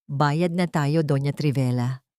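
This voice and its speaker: Woman voicing a resolute wronged wife, lowish voice. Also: a little slow, dark tone, dry recording, clean studio sound. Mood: firm, forgiving. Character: wronged young wife, resolute, dignified, coldly forgiving.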